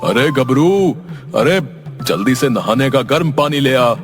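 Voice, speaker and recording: A man's voice: mid-range voice, expressive, measured pace, music in the background, lightly acted. A man voicing a stingy, exasperated village merchant in a cartoon story, scolding and blustering. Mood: concerned. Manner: scolding, blustering, questioning grunts. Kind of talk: Hindi cartoon story dialogue.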